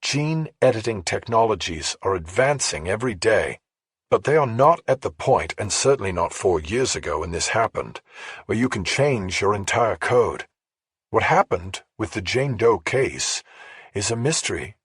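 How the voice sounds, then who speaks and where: A man reads as a female investigative journalist in a thriller audiobook. She is measured and matter-of-fact, explaining with care in a very husky, dryly recorded voice. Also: on the low side, expressive, a bit bright, no room sound, clean studio sound, exaggerated voice. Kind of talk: audiobook character dialogue.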